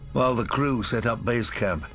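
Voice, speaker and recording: An actor playing a weary space captain in a radio drama, measured and grave, with calm authority. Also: male voice, mid-range voice, soft background music, natural voice. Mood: grave, reflective. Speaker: captain and narrator.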